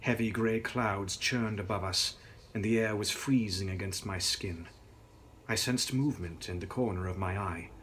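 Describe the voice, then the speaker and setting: A young man giving a measured, theatrical reading of gothic fiction as its author. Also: on the low side, a bit bright, a little hiss or hum. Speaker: author reading own novel. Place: dark indoor room, on camera.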